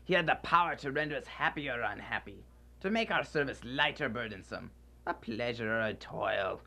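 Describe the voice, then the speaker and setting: Guy doing remorseful old miser in a radio play, pitch jumps all over, theatrical n pleading. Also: animated, normal speed, vocal fry, pushed and bright. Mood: wistful regret. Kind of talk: live student radio drama acting.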